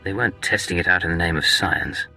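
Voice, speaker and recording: An actor playing a confident imperial secret agent in a video game, with a curt, sardonic delivery. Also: male voice, low voice, fast, vocal fry, sharp tone, breathy edge, heavily acted, rough recording. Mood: dry, defiant. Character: dry, confident male secret agent of an empire. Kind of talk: video game dialogue acting. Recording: music behind the voice.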